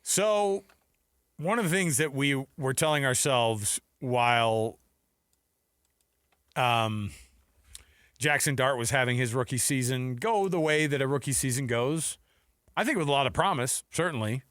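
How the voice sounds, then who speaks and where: A man's voice: medium pitch, measured pace, pauses often, a bit bright, clear, resonant tone, no echo, studio quality. An American man co-hosting a sports radio show, chatting casually and jokily through the news and acting out imagined quotes. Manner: jokey, conversational, acts out imagined quotes. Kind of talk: casual sports talk banter with news rundown.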